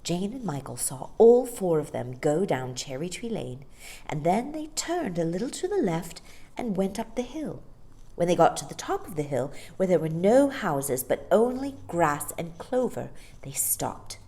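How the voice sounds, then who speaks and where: A woman narrating a children's story in an expressive storytime style. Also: fairly low pitch, unhurried. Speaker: storytime reader.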